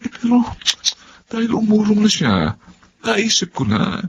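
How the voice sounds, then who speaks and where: Animated, wheedling political schemer. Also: male voice, sits high, measured pace, exaggerated voice. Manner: wheedling, exclamatory. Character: pushy, flattering male political recruiter, easily slighted. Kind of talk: Filipino radio drama acting. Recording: slightly noisy, dull radio-drama audio.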